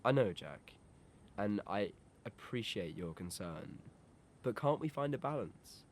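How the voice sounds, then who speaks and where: A man's voice: fairly low pitch, animated, a little slow, a little hiss or hum. A man playing a sincere, reflective teenage boy in an audio drama. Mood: sincere, thoughtful. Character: Peter, wistful teenage boy attached to his best friend.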